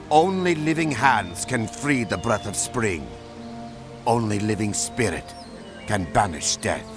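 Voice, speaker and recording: A cryptic, solemn game prophet. His portentous voice is fairly low and swings widely in pitch, with clear music behind. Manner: portentous, incantatory. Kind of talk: scripted video game acting.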